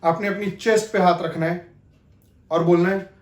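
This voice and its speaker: A man with a notably high-pitched voice presents an acting lesson. He explains things directly to the viewer in an instructional way. The room adds a clear echo to his voice. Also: fairly even intonation, normal speed. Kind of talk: instructional video talk with spoken demonstrations. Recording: echoey, reverberant room.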